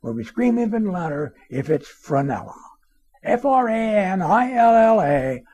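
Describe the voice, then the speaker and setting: A man's voice: high-pitched, big pitch swings, moderate pace, darkish tone, voice pushed up. A man playing a bewildered, plain-spoken radio disc jockey in a told anecdote, incredulous and questioning. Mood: hopeful, showy.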